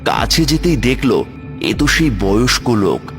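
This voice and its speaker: A man's voice: medium pitch, huge pitch swings, a little slow, fairly bright tone, soft background music. A man giving scripted narration for a cartoon horror story.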